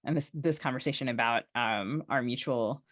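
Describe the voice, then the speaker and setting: A woman's voice: a bass voice, moderate pace, dry recording, clean studio sound. A woman hosting a podcast, interviewing conversationally with hesitant, rambling lead-ins full of fillers. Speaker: podcast host.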